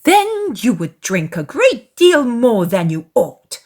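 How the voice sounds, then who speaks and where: A woman voicing a fussy, excitable middle-aged mother in a dramatized classic novel, emphatic and full of exclamation and indignant gossip. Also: a little high, slow, full character voice. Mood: insistent.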